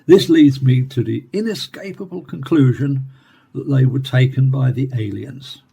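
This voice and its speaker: An actor playing a measured academic professor in a radio play, speaking formally and deliberately. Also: male voice, medium pitch, vocal fry, mellow, husky. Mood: measured, speculative. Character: measured male Cambridge professor explaining alien attacks. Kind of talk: radio play acting as interviewed expert.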